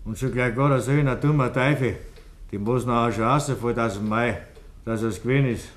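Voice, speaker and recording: A man's voice: medium pitch, normal speed, close to an everyday voice. An actor playing a simple, evasive yes-man at a village inn, with a Bavarian accent, in a 1950s dialect radio play. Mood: gossiping. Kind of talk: 1950s German dialect radio drama acting.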